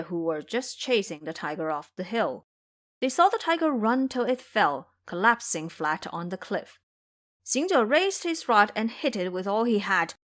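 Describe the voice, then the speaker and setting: A woman's voice: mid-range voice, fairly bright tone. A woman giving dramatic scripted narration of a story as the narrator of an audio drama.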